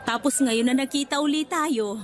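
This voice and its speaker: Tender, emotional older nanny played by an actress. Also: female voice, high voice, normal speed, natural voice. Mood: tender, reassuring. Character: older tearful devoted nanny, motherly and faithful. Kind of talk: Tagalog radio drama acting. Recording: background music under the dialogue.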